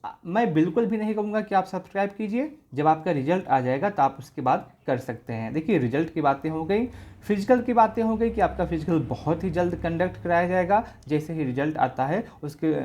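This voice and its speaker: A man's voice: a little high, brisk, a little mellow. A man hosting an informational explainer video for exam candidates, going through things step by step and often repeating himself. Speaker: exam update video host.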